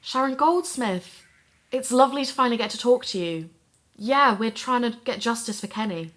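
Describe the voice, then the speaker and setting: A woman's voice: on the high side, moderate pace, fairly bright tone, close to an everyday voice. A woman in her twenties voicing a troubled young podcaster in an audio drama, talking candidly to a voice recorder. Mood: polite. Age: 20s. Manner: candid, self-deprecating, talking to herself. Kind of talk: audio drama, diary-style monologue.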